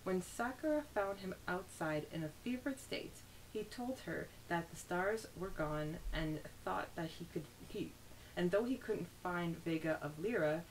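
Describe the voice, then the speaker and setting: A woman's voice: fairly low pitch, a little slow, rough recording. A woman co-hosting a podcast, summarizing a story chapter and then discussing it in casual chat. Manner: summarizes plot steadily, then chats animatedly. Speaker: podcast co-host. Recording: faint hiss.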